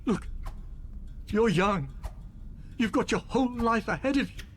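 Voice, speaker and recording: A woman's voice: a little high, lively intonation, measured pace, slight background noise. A woman playing a weary, self-justifying office worker of sixty, reasoning insistently in a radio play. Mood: pleading. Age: 60s.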